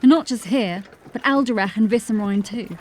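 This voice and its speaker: A woman's voice: on the high side, normal speed, darkish tone, lightly acted. An actress playing a confident, teasing female watch officer with a wry edge in a fantasy audio drama. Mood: concerned. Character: bold, playful, catty female watch officer undercover.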